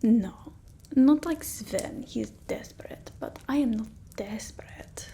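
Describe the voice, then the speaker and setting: Casting: haughty female vampire played by a woman for whispered ASMR roleplay, slow and fussy, mellow tone. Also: fairly high pitch. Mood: haughty, instructing. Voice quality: breathy whisper, soft voiced in places. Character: haughty fussy female vampire mistress instructing her familiar. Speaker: ASMR creator.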